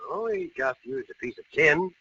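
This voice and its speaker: An actor playing a blustery, fussy older uncle in a 1940s radio comedy. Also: male voice, fairly high pitch, moderate pace, sharp tone, distant mic. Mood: bemused. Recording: muffled, noisy old radio recording.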